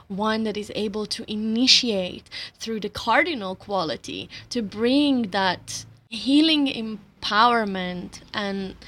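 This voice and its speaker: Unhurried woman, Slovenian native speaker. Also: mid-range voice. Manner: unhurried, soft laughs between thoughts. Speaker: astrology teacher. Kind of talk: reflective spiritual talk.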